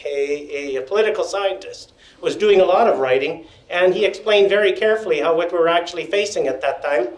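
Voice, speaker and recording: An older man telling stories at a panel in a meeting room, loud-voiced with wry joking asides, feeling unwell and dizzy. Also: high voice. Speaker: panel speaker. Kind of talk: storytelling at a panel. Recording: echoey room.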